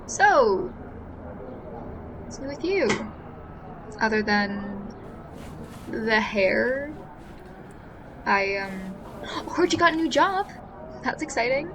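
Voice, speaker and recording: Younger sister role, female actor: high-pitched, naturalistic, teasing and bickering, audio drama with noisy ambience. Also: fairly bright tone. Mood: exasperated. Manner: casual sibling bickering. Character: teasing younger sister, casual, exasperated but affectionate. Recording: clearly noisy ambience behind the voice.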